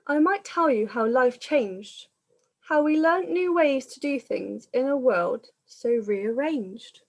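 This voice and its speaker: A woman, a primary school teacher, reading a picture book aloud to young children in a measured, explanatory manner. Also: fairly high pitch.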